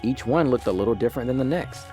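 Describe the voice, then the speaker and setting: A man's voice: mid-range voice, fast, mellow, natural voice. A man playing the ghost of a male cat, telling his own story in a wry, folksy way. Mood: wry storytelling. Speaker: narrator. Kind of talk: first-person scripted storytelling. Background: music.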